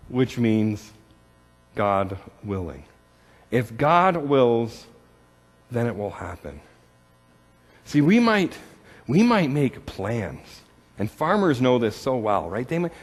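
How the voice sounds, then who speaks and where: Pastor with a lively storytelling sermon voice. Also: male voice, a little high, in a large hall. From Canada. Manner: storytelling with self-deprecating humor, repeats words.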